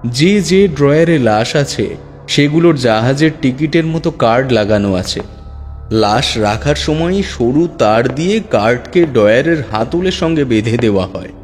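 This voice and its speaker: A man tells a first-person ghost story in a measured, suspense-building voice of middle pitch. His narration rings with a long reverb. Faint music plays underneath. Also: a little mellow. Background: faint background music.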